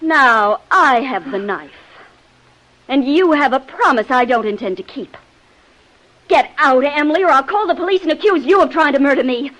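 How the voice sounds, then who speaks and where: A scheming, glamorous young wife in an old radio melodrama. Her high voice sweeps up and down with theatrical disdain, every bit the spoiled society lady. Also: lively intonation, moderate pace, a bit bright, low-quality recording. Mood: defiant. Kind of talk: 1950s radio acting.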